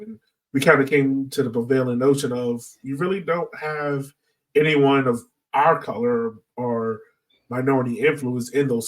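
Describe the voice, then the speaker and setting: A man's voice: medium pitch, far from the mic, rough recording. Guy hosting a casual podcast, midrange voice, kinda back from the mic. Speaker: podcast host.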